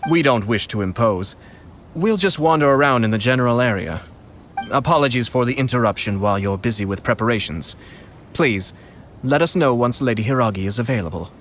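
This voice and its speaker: An actor playing a polite and playful young male noble commissioner in a game, speaking in a measured way. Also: medium pitch, animated, slight background noise, lightly acted. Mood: courteous. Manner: courteous, measured, with soft amused laughs. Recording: game audio with clear music behind.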